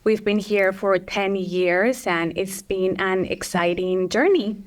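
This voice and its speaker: A woman from Colombia speaks slowly and evenly, with a voice of middle pitch. She is a campus pastor, and she introduces herself in a friendly, measured way in a casual chat.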